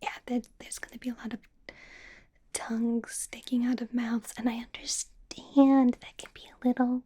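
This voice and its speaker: A woman voicing a possessive, doting vampire boss in a whispered, breathy ASMR roleplay, coaxing and quietly sinister. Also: fairly high pitch, little pitch movement. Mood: coaxing, businesslike. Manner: slow, coaxing, with small chuckles and pauses.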